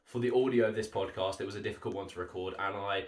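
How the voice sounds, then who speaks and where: A man's voice: fairly low pitch, steady tone, fast talker. Young british guy hosting a podcast, bit drunk, rambly casual chat, roomy echo. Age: 20s. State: tipsy. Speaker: podcast host. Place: small makeshift recording room late at night. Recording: roomy, echoey home recording.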